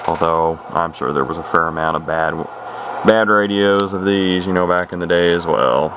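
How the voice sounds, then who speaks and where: A middle-aged man with a deep, low voice talks through a hands-on demonstration. His delivery is folksy and unscripted, wandering and hesitating as he goes. Noticeable radio static and noise sit behind his words. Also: moderate pace. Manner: folksy, plainspoken, hesitant and rambling. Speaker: vintage radio hobbyist and demonstrator. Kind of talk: casual unscripted product demonstration. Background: CB radio noise from its speaker.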